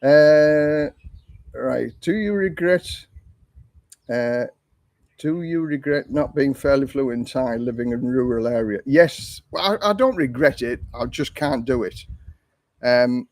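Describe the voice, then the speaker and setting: An older man, hosting a casual live stream and answering viewer questions in a rambling, chatty way, with a voice he calls monotone. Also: mid-range voice, takes it slowly, dry recording. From England. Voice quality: self-described monotone voice. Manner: rambling, chatty, with frequent ums and restarts. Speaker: livestream host.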